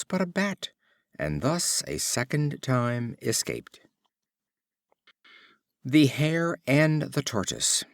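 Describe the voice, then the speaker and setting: A low-pitched man narrates old fables like an audiobook reader. His intonation moves in lively swings, with expressive, measured phrasing. The sound is close and dry. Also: creaky, no echo.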